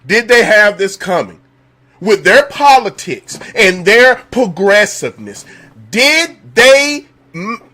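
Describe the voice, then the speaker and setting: A man hosting a live call-in show from home, talking in a relaxed, chatty way with a slightly hoarse voice and repeating his questions for effect. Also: sits high. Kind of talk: casual live-stream talk.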